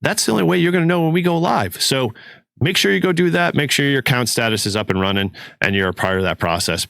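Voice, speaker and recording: A man whose voice is raspy and hoarse from a cold, talking in a casual, rambling podcast manner with plenty of fillers. He sits at a middling pitch. The room is dry, with no echo. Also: a bit bright. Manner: rambling, loose, with many fillers. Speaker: podcast co-host.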